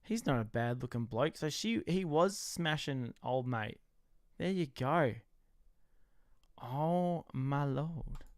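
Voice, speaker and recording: An Australian man co-hosting a casual recap chat, loud, jokey and excitable, trash-talking on a close mic. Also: on the high side, slow pace, no room sound. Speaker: podcast co-host.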